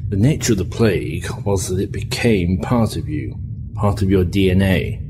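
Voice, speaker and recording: A man's voice: low-pitched, close to an everyday voice. A man playing a patient but firm, admonishing starship doctor in an audio drama. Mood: grave, patient. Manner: measured, admonishing, clinical. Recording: clearly noisy recording with background ambience.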